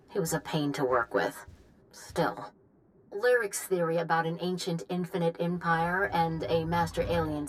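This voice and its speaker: A woman's voice: low voice, slight background noise, rough recording. A woman playing a dry, impatient scientist dictating a research log in a game, matter-of-fact and a little disdainful. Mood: disdainful, musing.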